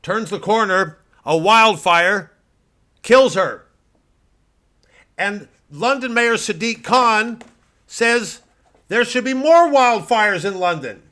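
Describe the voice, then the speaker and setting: Man playing a forceful, ranting American pundit. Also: sits high, expressive, voice pushed up, full character voice. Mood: outraged. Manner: bombastic, emphatic, repetitive with dramatic pauses. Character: ranting, indignant right-wing American podcast pundit, self-important and muddled. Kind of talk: satirical political podcast monologue.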